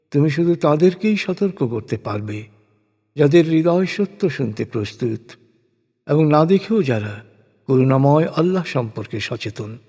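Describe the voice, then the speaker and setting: A man gives a solemn, scripted recitation of translated scripture in a measured, declamatory way. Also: on the high side, mellow. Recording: long hall-like reverb.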